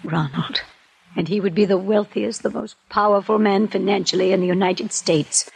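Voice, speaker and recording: A woman's voice: mid-range voice, steady tone, moderate pace, creaky, darkish tone, breathy edge, no room sound. An actress in a 1950s radio drama playing a refined, anxious wealthy woman who is mourning her nephew. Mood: anxious, aggrieved. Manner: refined, anxious, proper old-fashioned diction. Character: genteel wealthy widow-aunt, fearful and aggrieved.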